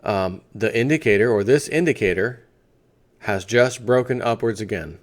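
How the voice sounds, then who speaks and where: A man's voice: lowish voice, normal speed. A man hosting a financial news video, reading an article aloud in a conversational way and breaking off for off-the-cuff asides. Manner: conversational, breaks off to add asides and rhetorical questions.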